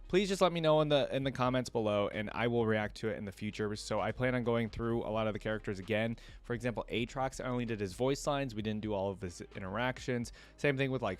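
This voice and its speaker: A man hosting a reaction video, giving casual commentary in an informal, chatty, rambling way. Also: on the low side.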